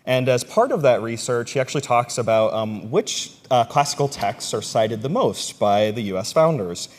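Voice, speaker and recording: A man's voice: mid-range voice, animated, a little hiss or hum. A man with a stuffy, congested voice from a cold, giving an academic conference talk with frequent ums and uhs. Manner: halting, many ums and uhs. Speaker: conference presenter, ethics instructor. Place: conference room. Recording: long reverb of a large hall.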